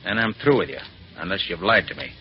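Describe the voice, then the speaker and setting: An actor playing a confident, commanding master detective with an assured manner in a 1940s radio drama. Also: male voice, deep voice, lively intonation, fast talker. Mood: hard, threatening. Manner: assured, crisp, authoritative. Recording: dull, noisy 1940s radio recording.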